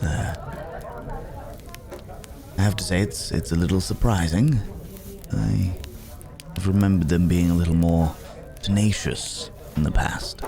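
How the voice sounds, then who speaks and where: A man acts out a werewolf wanderer with an extremely low voice and an unhurried pace. He is gentlemanly and wry, with a brooding streak, and he pauses and murmurs as he muses. The delivery is close, intimate ASMR fantasy roleplay. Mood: musing. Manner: halting, musing, gentlemanly, with hums and murmurs. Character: weary, courteous male werewolf traveler, wry and brooding.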